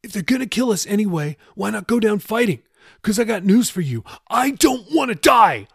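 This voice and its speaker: A man acts out a desperate young sailor held captive in an audiobook story. His voice is raised high and has a rough husk, close and dry. He talks in slang, agitated and pleading. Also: big pitch swings, moderate pace, a bit bright, dry recording, exaggerated voice. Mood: angry, desperate.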